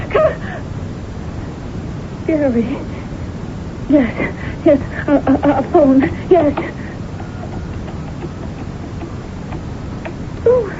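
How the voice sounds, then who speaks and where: A woman's voice: very high-pitched, exaggerated voice. An actress in a radio drama playing a hysterical, jealous trapped woman, stammering and repeating herself in panic. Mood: tearful pleading, then panicked. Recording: muffled old radio broadcast, noisy.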